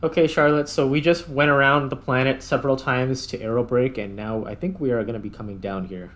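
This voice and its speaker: A man giving casual gameplay commentary, thinking aloud in short, halting remarks. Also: medium pitch, steady tone, a bit bright, a little hiss or hum. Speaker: gaming host.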